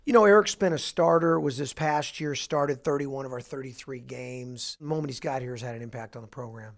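A middle-aged man, a basketball coach, talking through his roster in an office interview with a handheld mic, halting with fillers and restarts. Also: mid-range voice. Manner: halting, many fillers and restarts, upbeat emphasis on each name. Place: office, indoors.

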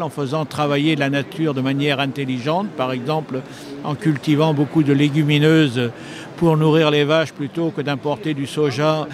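Older man, higher voice, crowd chatter behind. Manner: measured, explanatory, frequent hesitations. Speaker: retired agricultural journalist, author. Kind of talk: interview answers. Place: busy indoor book fair stand. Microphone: handheld mic held by interviewer. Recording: noisy crowded hall.

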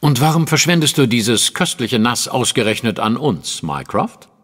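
An actor playing a shrewd, self-assured gentleman detective in a German radio play, probing and dryly ironic with precise wording. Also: mid-range voice, sing-song, normal speed, slightly rough, long reverb tail.

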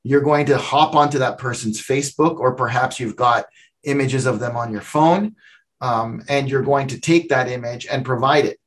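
A middle-aged man on a video call from his home office, calmly walking the listener through a practical explanation. His voice sits at an ordinary middle pitch for a man, and he gestures freely as he talks. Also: normal speed. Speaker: company founder explaining software. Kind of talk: video call interview answer.

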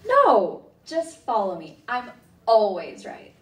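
An overconfident young girl leader played by a teenage student in a school radio drama. Her high, self-assured voice moves about a lot, set slightly back in an echoey hall. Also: wildly swooping pitch, slow pace, fairly bright tone, full character voice. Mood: cocksure. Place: indoor theatre stage. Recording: echoey stage hall, distant microphone.

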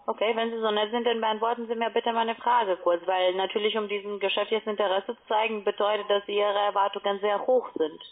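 A woman telemarketer giving an insistent, formal sales pitch by phone, with halting phrasing. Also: flat delivery. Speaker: call centre telemarketer. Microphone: telephone call. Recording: narrow, tinny phone line.

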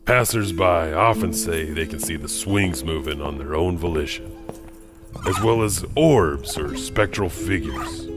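A man's voice: low voice, unhurried, over music. A man playing a wry janitor host, narrating scripted urban legends for a horror anthology. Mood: ominous storytelling.

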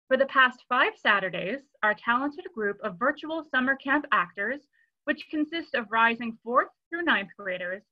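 A woman's voice: fairly high pitch, lo-fi sound. A woman giving a scripted welcome introduction as a theater presenter. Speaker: theater education director, presenter.

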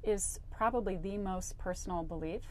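A woman's voice: mid-range voice, moderate pace, a little hiss or hum. A woman in her forties who grew up in Hawaii, telling stories as an interview guest on a flowery studio set, with a lapel mic. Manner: chatty, animated storyteller acting out quotes. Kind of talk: casual interview storytelling.

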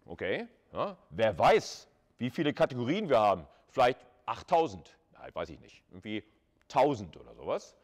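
Male lecturer, mid-pitched, conversational and informal, talking students through material with rhetorical questions. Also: big pitch swings, normal speed. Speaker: university lecturer. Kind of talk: live lecture explanation, addressing students. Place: classroom with chalkboard.

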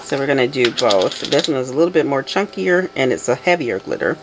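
Chatty low-voiced woman making soap. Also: lively intonation, fairly quick, background noise. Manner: chatty, talks to herself, self-correcting. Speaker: soap maker. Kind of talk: casual crafting tutorial. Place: home craft table, indoors.

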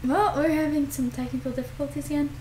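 A woman's voice: high-pitched, fairly even intonation, fast talker. A young woman co-hosting a let's play with giggly, casual chat. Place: small dim room indoors. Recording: echoey room with faint noise.

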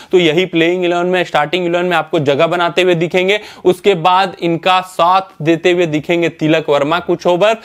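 A young man presenting a cricket analysis to camera in a studio, explaining steadily with fillers and self-corrections. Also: fairly high pitch. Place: indoor studio in front of a screen.

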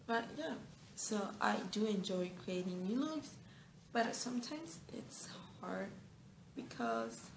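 A woman's voice: mid-range voice, expressive, takes it slowly, gravelly, roomy sound, a little hiss or hum, rough recording. An eighteen-year-old woman with a Mexican Spanish accent, a native Spanish speaker, chatting casually to camera with lots of fillers, restarts and giggles. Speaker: beauty vlogger. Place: small bright room indoors.

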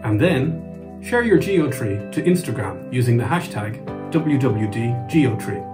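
A man's voice: mid-range voice, sing-song, moderate pace. Upbeat man in a workshop. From Ireland. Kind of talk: scripted video invitation. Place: indoor woodworking workshop. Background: music.

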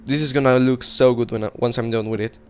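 A man's voice: medium pitch, steady tone, fast, faint music behind. A young man from Colombia, a native Spanish speaker with a Colombian accent, rambling casually while commenting on his gameplay. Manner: rambling and chatty, talking while playing, frequent restarts. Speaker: gaming video creator.

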